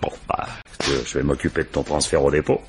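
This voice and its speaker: A man acting a gruff, sly police commissaire in a radio drama, with a colloquial, pressing way of talking. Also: rumbling low, flat delivery, fast, noisy background. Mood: insistent. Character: gruff, pushy male police commissaire, world-weary and sly.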